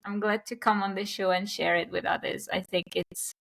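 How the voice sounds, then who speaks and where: A woman hosting an interview podcast, framing her questions in an unhurried, hesitant way that repeats words. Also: medium pitch, steady tone, creaky, fairly bright tone, poor audio. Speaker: podcast host. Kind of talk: casual interview chat.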